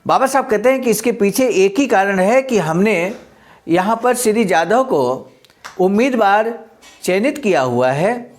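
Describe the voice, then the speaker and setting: Presenter for read-aloud speech with commentary: mid-pitched voice, instructive, stresses points through repetition. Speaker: presenter reading and explaining a historical speech.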